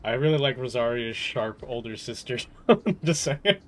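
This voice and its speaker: A man's voice: mid-range voice, lively intonation, normal speed, a little hiss or hum. A man giving casual gameplay commentary, rambling and musing to himself as he plays. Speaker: game streamer. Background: game music.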